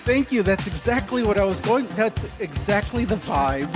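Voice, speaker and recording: A man's voice: high voice, expressive, normal speed, over music. A man hosting a podcast, enthusiastic and rambling, often restarting his sentences. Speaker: podcast host. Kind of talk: casual chat.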